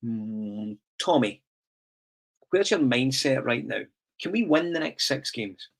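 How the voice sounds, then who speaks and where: A man's voice: mid-range voice, takes it slowly, vocal fry. A man with a Scottish accent hosting a casual football podcast and putting questions to his panel. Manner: relaxed, asks the panel questions. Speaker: podcast host.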